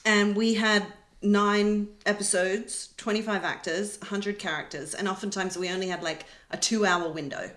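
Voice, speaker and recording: A woman's voice: mid-range voice, steady tone, moderate pace, few pauses, fairly bright tone. A woman hosting a panel in a casual interview style. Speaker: panel host. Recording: echoey room.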